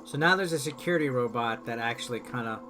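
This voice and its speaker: A man's voice: medium pitch, quick pace. A man doing casual, playful gameplay commentary as a streamer, sometimes reading on-screen text aloud. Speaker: video game streamer. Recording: game music behind the voice. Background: game music.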